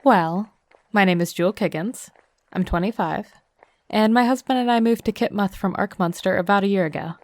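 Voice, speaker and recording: A young woman playing a tough, sardonic zombie killer in an audio drama, with a deadpan, teasing, brisk delivery. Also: medium pitch, no echo, natural voice. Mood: matter-of-fact. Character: tough, sardonic twenty-five-year-old woman, cocky zombie killer. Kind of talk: audio drama acting, casual dialogue.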